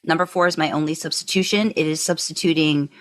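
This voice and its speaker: A woman's voice: deep voice, fairly even intonation, quick pace. A woman co-hosting a podcast, talking casually and emphatically as an enthusiastic fan. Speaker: podcast co-host.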